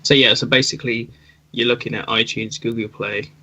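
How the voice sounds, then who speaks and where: A man's voice: mid-range voice, fairly even intonation, fairly quick, pushed and bright. A man co-hosting a podcast, talking casually over an internet call. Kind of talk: casual chat.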